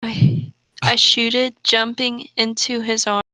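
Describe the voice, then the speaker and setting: A woman reads trashy fiction aloud for comedy at a very slow, deliberate pace. Her voice is bright and a little high, recorded dry and close, and she keeps a deadpan delivery. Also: no room sound, low-quality recording. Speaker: co-host reading bad fan fiction aloud.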